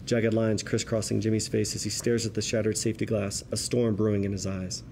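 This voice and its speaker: A man's voice: on the low side, little pitch movement, a little fast, gravelly, background noise. A man reading stage directions as scripted narration at a table read.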